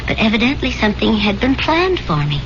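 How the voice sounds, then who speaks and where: A woman's voice: on the high side, sing-song, brisk. An actress playing a wistful young woman reminiscing with her sweetheart in earnest, reflective 1970s radio drama style. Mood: fond, teasing. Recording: dull, noisy 1970s radio recording.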